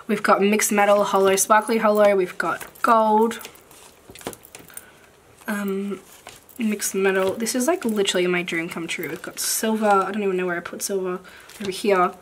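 A woman whose voice is croaky and a little rough from recent voice loss. She talks in a loose, chatty way with plenty of fillers and the odd casual swear. Her pitch is about average, with a faint hiss of noise under the recording. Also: steady tone, normal speed. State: croaky, recovering from losing her voice. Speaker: planner vlogger. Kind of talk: casual haul chat while sorting stickers. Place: at a desk indoors, filming hands from above.

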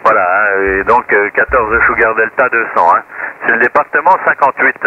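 A man's voice: fairly low pitch, steady tone, moderate pace. A man from France chatting and explaining casually as an amateur radio operator, through a two-way radio transmission. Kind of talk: casual radio group chat. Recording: muffled noisy shortwave radio transmission.

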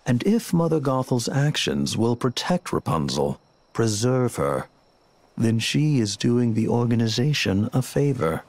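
An actor playing a smug, coolly menacing villain in a video game, speaking in a measured, condescending, theatrical way. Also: male voice, mid-range voice, sing-song, a little mellow, no echo. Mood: smug, calm. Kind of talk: scripted video game cutscene acting.

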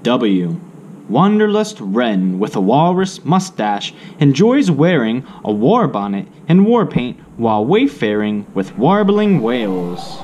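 A man narrating a children's alphabet picture book aloud, in deliberate, separated phrases with drawn-out words. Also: medium pitch, very animated, a little mellow, soft background music. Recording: faint background noise.

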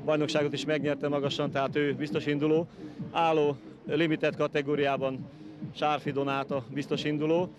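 A man with a fairly high voice speaks in a flat monotone, explaining things matter-of-factly. He is interviewed outdoors at a race, with music clearly underneath. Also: muffled recording. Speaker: sports official interviewee.

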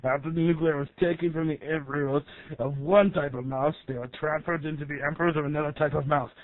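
A theatrical cartoon doctor acted by a man with a slightly high voice, lecturing with mock authority and easily irritated. The sound is thin, dry and band-limited, like a small radio. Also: fairly even intonation, a little fast, no echo, narrow, tinny audio, natural voice. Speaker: doctor character giving science explanations. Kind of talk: amateur comedy skit acting with scripted explanation.

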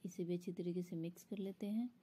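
A woman's voice: on the low side, fairly even intonation, fast talker, dark tone, a little hiss or hum. A woman explaining a recipe step by step in an instructional voice-over, as a home cook. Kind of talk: step-by-step cooking tutorial voice-over.

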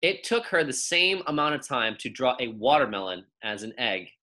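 Animated young man reading aloud, bright voice. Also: medium pitch. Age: young adult. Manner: animated, emphatic, with drawn-out key words. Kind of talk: expressive children's read-aloud with brief teaching asides.

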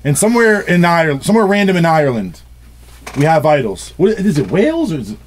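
A man's voice: a little high, big pitch swings, fast talker. A man in his thirties hosting a casual studio session, teasing and joking with his guests and swearing freely. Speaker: host. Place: small music studio indoors.

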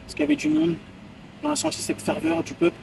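A man's voice: very high-pitched, sing-song, quick pace, gravelly, far from the mic, background noise. A man in his twenties, a footballer giving casual interview answers outdoors and repeating phrases for emphasis. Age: 20s. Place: outdoors by a hotel pool.

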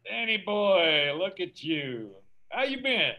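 A man's voice: sits high, sing-song, slow, lo-fi sound, slight background noise, full character voice. An actor in his sixties playing a breezy, glad-handing absentee grandfather in a comedy-drama table read. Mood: hearty, overfamiliar. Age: 60s. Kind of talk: screenplay table read over video call. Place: room at home, indoors. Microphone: laptop mic on video call.

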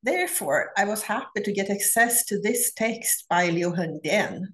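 A middle-aged woman from Sweden with a Swedish accent, giving a prepared academic conference talk over a video call, measured and deliberate with frequent pauses. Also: medium pitch, lively intonation. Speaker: academic researcher presenting a paper. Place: home office. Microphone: laptop webcam on a video call.

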